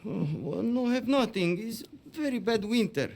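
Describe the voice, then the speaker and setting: An actor playing a frightened, pleading immigrant debtor in a western video game, stammering in a Polish accent. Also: male voice, high-pitched, lively intonation, moderate pace, vocal fry, a little mellow. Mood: panicked, pleading. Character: frightened, pleading male Silesian immigrant debtor with little English. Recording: music behind the dialogue.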